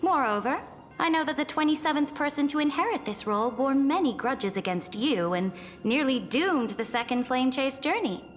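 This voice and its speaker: A woman playing an imperious, conquering empress in a video game, haughty and commanding in a grand, formal, declamatory way. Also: high-pitched. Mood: cold, contemptuous. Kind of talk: dramatic video game voice acting. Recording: long hall reverb, music behind.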